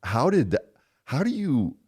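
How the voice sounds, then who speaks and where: A man's voice: mid-range voice, unhurried, husky. A man hosting a casual podcast chat, teasing his guest and laughing easily. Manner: teasing, laughing, loose and lively. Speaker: podcast host.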